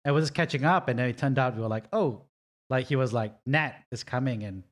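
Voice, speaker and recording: A man hosting a podcast interview, chatty and animated, leaning on fillers as he sets up questions. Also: medium pitch, fairly quick. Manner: chatty, animated, many fillers like "you know". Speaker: podcast host.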